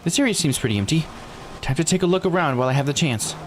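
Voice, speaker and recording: Man voicing an uneasy young game heroine, raised pitch. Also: lively intonation, fast, background noise, natural voice. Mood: pensive. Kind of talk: reading visual novel dialogue aloud in character. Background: game music.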